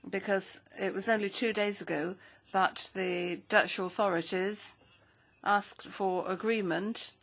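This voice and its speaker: A woman interpreting a speech into English at a parliamentary hearing, with a steady, measured delivery. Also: medium pitch.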